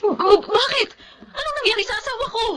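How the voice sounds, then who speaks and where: A woman's voice: extremely high pitch, fairly quick, bright, forceful tone. A woman playing a worried, exasperated mother with a Filipino accent, plaintive in the manner of radio drama. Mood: anxious, tearful.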